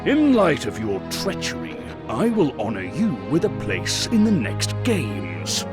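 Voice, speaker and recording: Pompous Roman emperor, slow, hugely varied intonation, imperious, cartoon game. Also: male voice, medium pitch, wildly swooping pitch, music in the background. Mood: grand, sardonic. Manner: pompous, grandly commanding.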